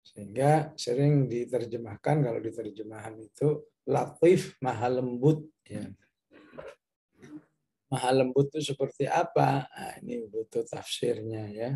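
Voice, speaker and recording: A man teaches scripture in a dark, mellow voice with a gravelly creak. His delivery is very slow and halting, like a patient lesson, broken by short confirming tags. He moves between explanation and Arabic recitation. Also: medium pitch, poor audio. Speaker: Islamic teacher, Quran commentator.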